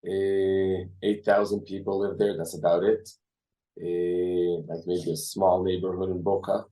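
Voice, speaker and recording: Israeli guy answering slowly, pretty flat and low, sounds far from the mic. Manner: halting, with many fillers. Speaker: reserve soldier guest. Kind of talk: remote interview answer.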